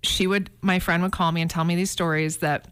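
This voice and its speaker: A woman's voice: on the low side, fairly even intonation, a little fast, fairly bright tone, clear, resonant tone. A middle-aged woman in casual, humorous talk show chat, telling gossipy stories with emphatic exaggeration. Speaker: radio talk show regular.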